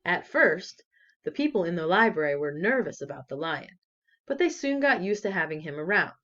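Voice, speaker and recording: Lower-voiced woman reading aloud, very animated. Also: moderate pace, lo-fi sound. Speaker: children's story reader. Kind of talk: expressive read-aloud storytelling.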